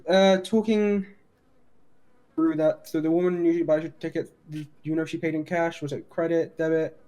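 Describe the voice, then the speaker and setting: A man acts out a police detective in an online game interrogation. The voice sits a little high and soft-edged, mellow and relaxed. The questions come haltingly but persistently, politely reworded along the way. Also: natural voice. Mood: probing. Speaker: role-player in an online game. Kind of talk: improvised roleplay interrogation.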